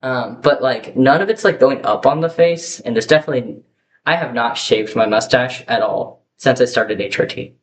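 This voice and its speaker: A young man talking candidly to his camera in a casual vlog, rambling with lots of fillers and restarts on a low-quality microphone. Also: normal speed. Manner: chatty, candid, rambling with many fillers and self-corrections. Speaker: vlogger. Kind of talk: casual personal talk to camera. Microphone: poor camera microphone. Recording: faint background hiss, low-quality mic.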